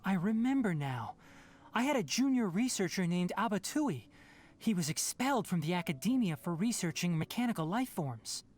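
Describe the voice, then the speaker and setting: A man playing an earnest young male scholar in a video game, measured, thoughtful and articulate. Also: sits high, sing-song, a little mellow. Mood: matter-of-fact. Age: young adult. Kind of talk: video game character acting.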